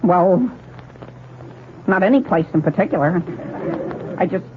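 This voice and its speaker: Flustered, high-voiced teenage boy. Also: animated, unhurried. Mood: pleading, protesting. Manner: stammering, protesting. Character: flustered, pleading teenage boy caught with a ladder. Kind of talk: 1940s radio comedy acting. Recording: noisy, tinny old radio broadcast.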